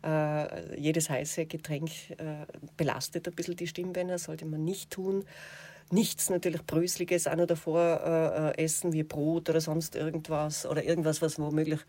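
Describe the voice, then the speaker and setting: A woman with an Austrian accent, a radio and television presenter, answering interview questions in a thoughtful way with many pauses and fillers. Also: low-pitched, little pitch movement, dark tone.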